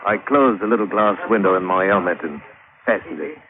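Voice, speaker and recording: Deep-voiced sailor spinning a yarn. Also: male voice, brisk, a crowd in the background. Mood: brooding, nostalgic. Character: British sailor George, weathered diver telling his sea tale. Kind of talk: old-time radio drama storytelling. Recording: tinny old radio recording with music.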